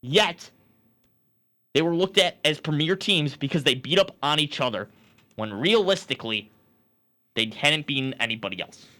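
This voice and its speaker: A man's voice: a little high, expressive, moderate pace, long pauses, pushed and bright. A man hosting a sports talk show, arguing his opinions emphatically in a back-and-forth debate. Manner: emphatic, argumentative.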